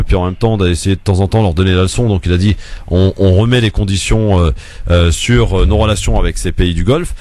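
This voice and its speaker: A man's voice: low-pitched, darkish tone, no room sound. A male radio host moderating a debate, firm about turn-taking and hesitant with many filler sounds as he explains.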